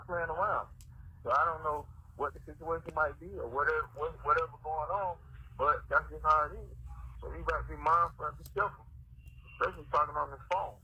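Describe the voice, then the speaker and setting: A man on a phone call, giving calm, lecturing advice. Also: fairly high pitch, brisk, husky. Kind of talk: casual phone conversation.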